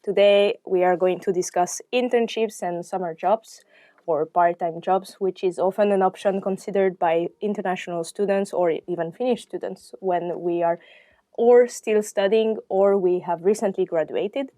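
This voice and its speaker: A woman's voice: medium pitch, moderate pace, warm tone, no room sound, clean studio sound. A woman hosting a podcast interview, friendly and laughing between questions. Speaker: podcast host.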